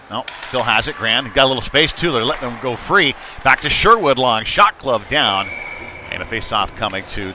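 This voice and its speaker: A man doing live play-by-play of a youth hockey game in a conversational, somewhat hesitant and unpolished way. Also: mid-range voice. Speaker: play-by-play sports commentator. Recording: noisy live rink ambience. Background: ice rink game noise.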